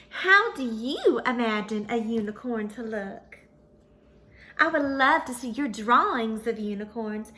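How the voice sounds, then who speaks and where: A woman's voice: high voice, pushed and bright, heavily acted. A woman voicing a sweet, folksy little female pony, telling her story dramatically and earnestly to children. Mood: friendly, chatty.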